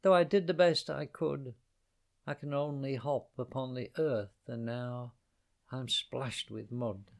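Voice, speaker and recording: A man voicing a little female songbird in a spoken storybook poem, with a bright sing-song delivery. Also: medium pitch, fairly even intonation, slow pace, vocal fry, pitched above the performer's own voice. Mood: weary. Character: little female songbird, weary then joyful.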